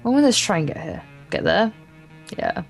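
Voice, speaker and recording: A woman's voice: mid-range voice, huge pitch swings, normal speed, vocal fry. A woman co-hosting a let's play, reading visual novel narration aloud, stumbling over words and adding wry, casual asides. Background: acoustic guitar game music.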